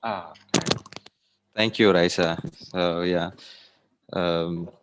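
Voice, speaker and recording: Lowish-voiced Indonesian man hosting casually. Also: fairly even intonation, takes it slowly, clear, resonant tone, poor audio. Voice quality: hesitant, repeats phrases. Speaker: host. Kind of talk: casual moderating of an online talk.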